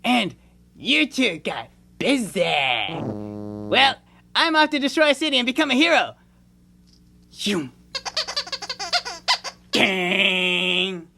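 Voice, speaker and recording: A woman's voice: medium pitch, big pitch swings, slow pace, creaky, long pauses, background noise, exaggerated voice. A woman voicing a gloating, childish supervillain in a puppet show parody with exaggerated, theatrical delivery. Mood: gloating, grandiose.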